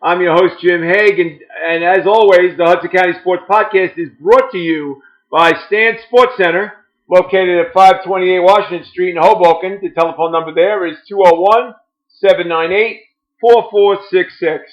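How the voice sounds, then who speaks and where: A man's voice: high-pitched, fairly even intonation, moderate pace. A man hosting a sports podcast in casual chat, talking chattily and restarting words as he asks long, rambling questions. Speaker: podcast host. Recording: dull, lo-fi.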